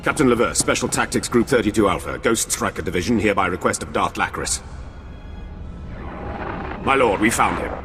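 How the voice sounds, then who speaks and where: Man playing a soldier, lowish voice, clipped, deferential, sci-fi game, reverberant hall. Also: animated, a little fast, long pauses, natural voice. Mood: urgent, formal. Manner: clipped, deferential military reporting. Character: dutiful, urgent male Imperial special forces captain. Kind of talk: dramatic video game acting. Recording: long reverb under music.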